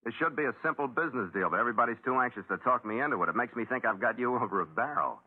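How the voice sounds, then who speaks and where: A man's voice: medium pitch, animated, very fast, slightly rough. An American man acting a tough ship captain in a 1940s radio adventure, terse and wry, with hardboiled delivery. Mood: wry, firm. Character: tough, dry ship captain narrating his own adventure. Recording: phone-like 1940s radio recording, loud music.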